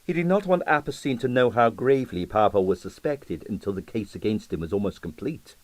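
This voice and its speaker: Animated male audiobook narrator. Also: on the low side, a little fast, dry recording, poor audio. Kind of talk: scripted dramatic narration of comic mystery fiction.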